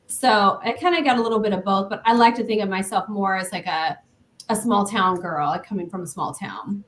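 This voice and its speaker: A young woman from Iowa, a guest in a casual interview, friendly and quick to laugh. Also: medium pitch, a bit bright, slight background noise.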